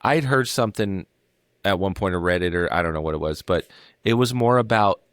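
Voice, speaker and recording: A man's voice: low-pitched, a little fast, no room sound, clean studio sound. A young adult man co-hosting a podcast, rambling in casual chat with plenty of fillers and offhand swearing. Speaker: podcast co-host.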